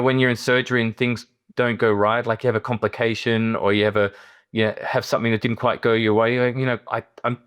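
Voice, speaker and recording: A middle-aged man with an Australian accent, speaking conversationally as a podcast guest, haltingly, often repeating words and restarting. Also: lowish voice, fairly bright tone, smooth. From Perth, Western Australia. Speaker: interview guest, surgeon.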